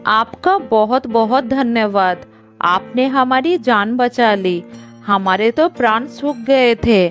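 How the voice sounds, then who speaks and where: A woman voicing a grateful, gentle deer parent in a cartoon moral story, earnest and thankful. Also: a little high, takes it slowly. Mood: grateful, relieved. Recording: faint music under the voice.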